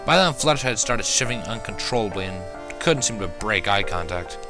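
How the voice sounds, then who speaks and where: A man's voice: lowish voice, expressive, brisk, over music. A man narrating a first-person fan fiction story, reading expressively as an amateur.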